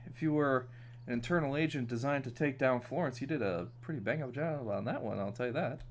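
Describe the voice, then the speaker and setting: A man's voice: medium pitch, fairly quick. A man from New York streaming a strategy game, chatting casually with plenty of fillers. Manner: laid-back, chatty, many fillers. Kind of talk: casual gaming chat.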